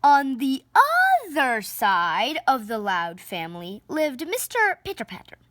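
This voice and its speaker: A woman's voice: high-pitched, bright, forceful tone, dry recording. A woman reading a children's picture book aloud expressively, theatrically stressing the sound words. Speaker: storybook reader.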